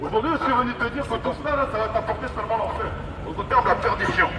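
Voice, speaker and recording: A man's voice: very high-pitched, fast, loud music behind the voice. A man preaching an evangelistic street sermon through a megaphone, emphatic, repetitive and exhorting. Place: outdoors in an urban street. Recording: distant, noisy outdoor megaphone with street traffic.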